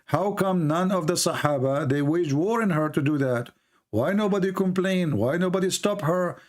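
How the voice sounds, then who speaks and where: A man hosts a heated debate, his voice a little higher than most men's. He keeps interrupting with "listen, listen" and stacks up rhetorical questions, pushing hard on every point. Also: moderate pace. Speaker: debate host.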